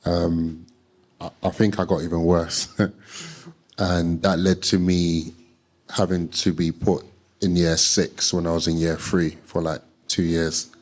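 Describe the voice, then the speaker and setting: A young man, a panelist calmly telling how he got started. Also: deep voice, takes it slowly. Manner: calm, matter-of-fact storytelling. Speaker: panelist, trading academy founder.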